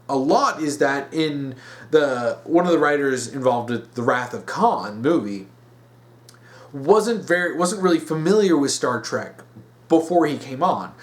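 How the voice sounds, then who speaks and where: Higher-voiced man in exasperated casual commentary. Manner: exasperated, emphatic ranting with drawn-out stressed words. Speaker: video commentator.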